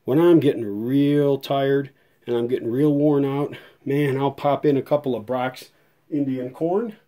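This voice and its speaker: Man, American accent, mellow and dark tone, conversational, folksy, casual video host. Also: mid-range voice, fairly even intonation, measured pace. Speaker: hobbyist radio restorer and video host. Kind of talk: casual vlog chat to viewers.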